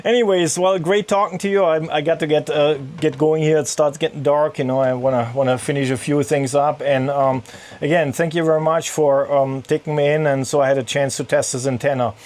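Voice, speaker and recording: Man, German accent, ham radio operator, halting, lots of uhs, speaking from a sailboat. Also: a little high, steady tone, a little mellow. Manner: halting, with many fillers. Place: sailboat cabin in a marina.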